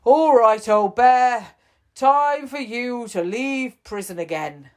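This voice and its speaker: A woman's voice: a little high, slow, pitched above the performer's own voice. A woman voicing a patient, kind male prison chief in a bedtime story. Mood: stern. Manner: gentle, reassuring.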